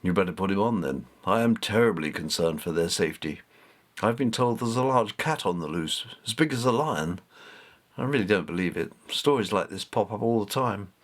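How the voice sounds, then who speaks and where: A man voicing a sceptical older grandfather who speaks in a gruff, matter-of-fact way. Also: on the low side, expressive, moderate pace, pitched below the performer's own voice.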